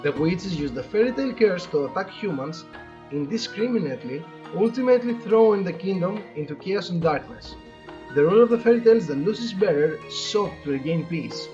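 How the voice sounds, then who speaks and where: A man with a noticeably high voice hosts a relaxed let's play. He reads game text aloud and adds chatty, halting asides. The mic sounds dull and lo-fi, with some music playing behind him. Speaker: host of a gaming playthrough. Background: game background music.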